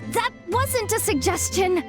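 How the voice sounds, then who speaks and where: A young female informant with a high voice. She is reluctant, expressive and easily flustered, grumbling in video game cutscene style over faint music. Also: a little slow. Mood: exasperated.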